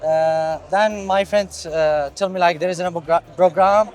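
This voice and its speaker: Hesitant Syrian man, high voice. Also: steady tone, normal speed, a little mellow, faint music behind. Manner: hesitant, many fillers and restarts, non-native English. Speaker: student. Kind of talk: casual interview answers.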